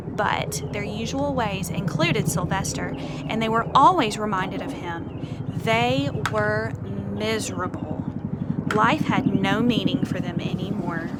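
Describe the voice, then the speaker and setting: A woman reading a picture book aloud to children outdoors, expressive, with conversational explanatory asides. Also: mid-range voice. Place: outdoors on a porch. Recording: faint outdoor ambient noise.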